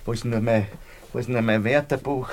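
A man's voice: mid-range voice, steady tone, fast talker, a little hiss or hum, close to an everyday voice. An actor playing a flustered, blustering middle-aged Austrian father in a radio play, stammering and repeating himself in agitation. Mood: agitated.

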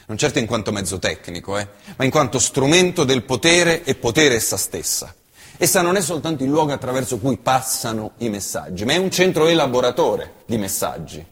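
A man performing a theatrical monologue, with impassioned declamation that swings between loud outbursts and hushed low lines. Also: breathy edge, big-room sound. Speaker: stage actor.

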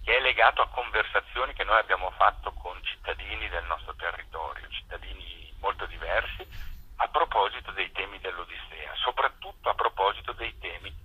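A man gives an explanatory interview down a telephone line. His voice is fairly low and very bright, with a forceful, tinny edge. He pauses now and then to find the right word. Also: breathy edge. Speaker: theatre maker interviewed as guest. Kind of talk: radio interview, explanatory talk.

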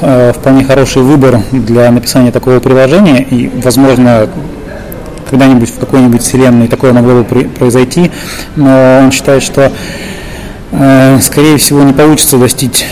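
A man with a Russian accent working as interviewer and translator, speaking in halting pieces with long hesitations. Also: medium pitch, darkish tone. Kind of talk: interview questions and consecutive translation. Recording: slightly noisy live recording.

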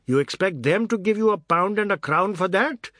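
A man voicing an angry, drunken middle-aged man who accuses and threatens loudly. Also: high voice, expressive, normal speed, no room sound, studio quality, voice pushed up. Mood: furious. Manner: loud, threatening.

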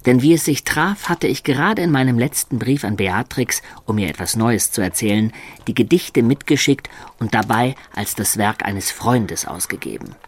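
A man's voice: medium pitch, expressive, normal speed. An actor playing a cheerful, sly, self-satisfied medieval liar who tells his tale in a playful, theatrical way for a German radio play. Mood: wry narrating.

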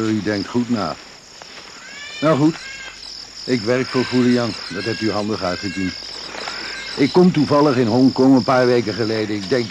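Man playing shady adventurer, radio play, mid-pitched, sly, flippant, colloquial, hissy old recording. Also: lightly acted. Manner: colloquial, mocking, nonchalant. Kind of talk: radio drama acting.

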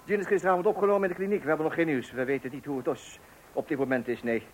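Man acting a flustered dad, fairly high voice, stammering, scattered, old radio play, noisy recording. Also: steady tone, very fast, close to an everyday voice. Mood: flustered, elated. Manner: stammering, repeating himself, scattered. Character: flustered, excited father juggling children and telephone.

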